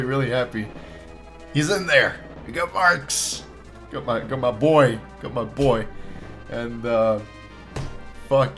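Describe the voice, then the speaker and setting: A man hosting a reaction video with casual, informal commentary, swearing and repeating phrases for emphasis. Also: medium pitch.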